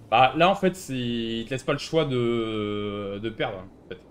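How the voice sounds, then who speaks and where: A man's voice: medium pitch, slow, a little hiss or hum. A man playing a civilian in an online roleplay game, arguing sarcastically and commenting casually as he streams. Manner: argumentative, sarcastic.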